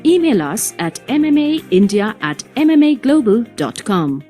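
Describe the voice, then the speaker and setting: A woman's voice: on the high side, very animated, moderate pace, dark tone, soft background music. A woman reading a polished, upbeat promotional voice-over.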